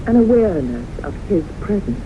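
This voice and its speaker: An actress playing a haunted, earnest woman in a 1970s radio drama, speaking with halting, deliberate intensity. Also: medium pitch, big pitch swings, lightly acted. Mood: hushed, earnest. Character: haunted, earnest woman convinced death pursues her. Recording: noisy, muffled 1970s radio broadcast recording.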